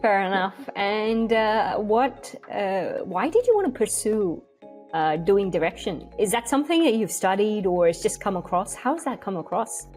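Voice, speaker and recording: Lively-voiced woman podcast host. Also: mid-range voice, unhurried, soft background music. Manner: warm praise, curious questions. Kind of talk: casual interview.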